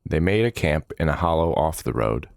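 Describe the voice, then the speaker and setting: A man reading dramatic fantasy narration in a measured, solemn manner, giving short phrases weight. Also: extremely low pitch, sing-song, gravelly, dry recording, studio quality.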